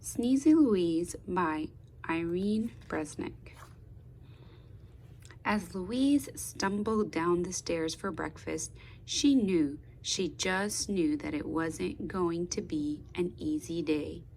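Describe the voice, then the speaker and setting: A woman reading a children's picture book aloud in an expressive, deliberate teaching way, pausing to put questions to young listeners. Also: lowish voice, a little hiss or hum, rough recording. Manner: slow, deliberate, with pauses. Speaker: speech therapist.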